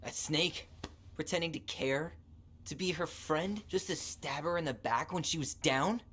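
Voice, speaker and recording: A man's voice: a little high, measured pace, a bit bright, breathy edge. An actor playing a wounded, angry young American man in a naturalistic video game drama. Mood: bitter, accusing. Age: young adult. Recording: noisy game capture.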